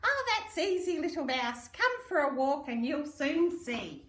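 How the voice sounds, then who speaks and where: A woman's voice: sits high, very animated, measured pace, fairly bright tone, a little hiss or hum, pitched above the performer's own voice, exaggerated voice. A woman voicing a kindly, jolly old granny mouse in a storybook, explaining things in a lilting, reassuring way. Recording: roomy echo, distant microphone.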